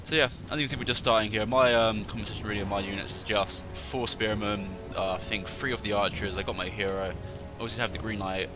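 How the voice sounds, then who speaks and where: A man's voice: on the low side, fairly even intonation, fast talker, few pauses, over music. A man with a slightly congested, stuffy voice giving casual replay commentary on a strategy game, rambling and correcting himself as he goes. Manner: rambling, self-correcting, thinking aloud. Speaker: gaming channel host.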